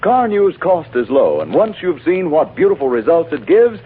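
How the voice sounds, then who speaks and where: A man reading a scripted sales pitch in 1940s radio announcer style. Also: very animated, moderate pace, breathy edge. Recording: narrow, tinny old radio broadcast.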